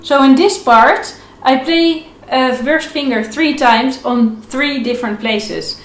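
A young woman with a fairly high voice teaches in an echoey room. She explains informally, step by step, and repeats her key points encouragingly. Some violin is heard around her speech. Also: takes it slowly, over music. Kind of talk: instructional video lesson, explaining informally. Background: violin playing between phrases.

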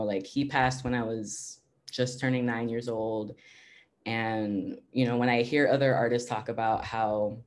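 A young adult woman artist reflecting on her own painting during a video call, soft and reflective, often saying "you know, like". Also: very deep voice. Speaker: exhibiting artist.

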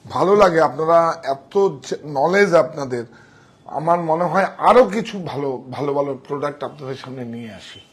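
A husky man presents goods in a shop. His pitch sits a little high for a man. He talks casually and emphatically, repeating key phrases as he sells. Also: expressive, a little fast. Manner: emphatic, repetitive. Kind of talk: casual sales demonstration. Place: indoor saree shop showroom. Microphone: wireless clip-on mic on chest.

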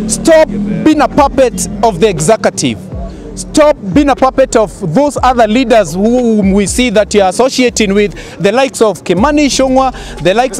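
A man's voice: very high-pitched, a little mellow. A Kenyan man pleading emphatically at an outdoor street protest, speaking into a handheld mic. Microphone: handheld mic held up to him.

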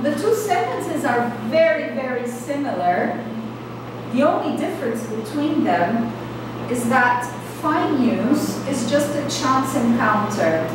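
Middle aged woman lecturing, highish voice, explains stuff step by step, super echoey room. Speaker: university lecturer. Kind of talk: academic lecture with slides. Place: small indoor lecture room. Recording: echoey room, distant mic, clear background noise.

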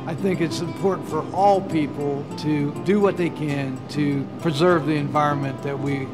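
A middle-aged farmer from Ohio talks in a measured, earnest interview, his voice sitting a little high for a man. Clear music plays underneath him. He speaks deliberately, weighing each point. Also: fairly even intonation. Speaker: farmer and biodiesel producer. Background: background music.

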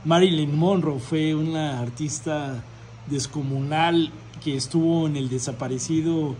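Effusive Mexican man interviewing, higher-pitched voice. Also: unhurried, a little mellow. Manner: effusive, rambling. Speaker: host interviewer of a city history channel. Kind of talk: informal on-location interview. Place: restaurant dining room, indoors. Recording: background noise, restaurant room ambience.

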